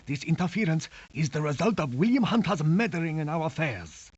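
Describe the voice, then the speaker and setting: A menacing, grandiose crime lord from a video game. His speech is formal and theatrical, swinging widely in pitch over a husky, gravelly voice. The audio is dull and compressed. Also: big pitch swings, moderate pace. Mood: cold threat. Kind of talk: villain dialogue in a video game.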